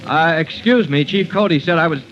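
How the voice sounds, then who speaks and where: A male actor as an earnest young fireman in a vintage radio serial. His voice is high for a man, excitable and deferential. The sound is muffled with faint noise. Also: a little fast, lightly acted. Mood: suspicious, probing. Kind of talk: old-time radio drama acting. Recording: muffled, lightly noisy vintage radio recording.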